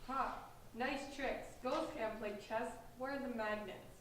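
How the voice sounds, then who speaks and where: A woman acts a snobbish teenage girl with a slightly high voice. She is sarcastic, bored and dismissive in a staged reading. It is heard from a distance in a very echoey room. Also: steady tone, a little slow, slight background noise, close to an everyday voice. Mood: skeptical.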